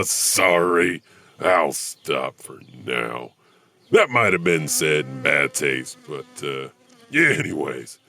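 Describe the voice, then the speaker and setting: A man's voice: low voice, vocal fry, bright, forceful tone, full character voice. A man playing a creepy, wisecracking janitor who tells horror stories, with chuckling, self-deprecating asides. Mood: sardonic, chuckling. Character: creepy, wisecracking, no-longer-human janitor storyteller. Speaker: host and storyteller of horror anthology. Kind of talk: scripted horror story narration.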